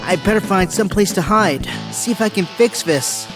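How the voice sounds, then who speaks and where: A woman's voice: mid-range voice, normal speed, over music, natural voice. A woman playing a shaken teenage male superhero in an audio drama, earnest and hesitant. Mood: worried resolve.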